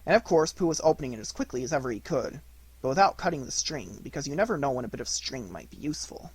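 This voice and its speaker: A man reading a children's audiobook in an expressive narration style. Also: medium pitch, fast, slight background noise. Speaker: audiobook reader.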